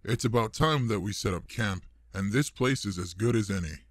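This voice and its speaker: A man playing a gruff older male monster adventurer in an intimate audio roleplay, fond and teasing with a human partner. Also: lowish voice, moderate pace, dry recording, clean studio sound, natural voice. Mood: steady, wary.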